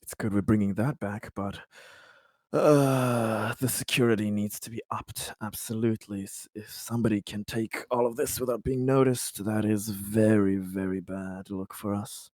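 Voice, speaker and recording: A man talking casually as a player in a tabletop role-playing game, phrasing things haltingly and with measured care. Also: on the low side, steady tone, darkish tone, no echo. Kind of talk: casual in-game talk, speaking for a company director character.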